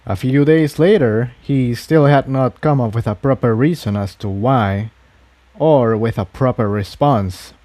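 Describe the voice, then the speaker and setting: A man's voice: medium pitch, unhurried, mellow, no echo. Man narrating, dry close sound. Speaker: amateur fan fiction reader. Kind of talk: scripted story narration.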